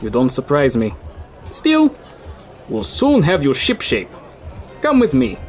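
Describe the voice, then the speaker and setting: A man's voice: mid-range voice, huge pitch swings, slow, faint music behind. An actor in his thirties playing a friendly, folksy local man, who reassures a stranger. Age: 30s. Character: friendly male city dweller, folksy and helpful. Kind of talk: audio drama acting.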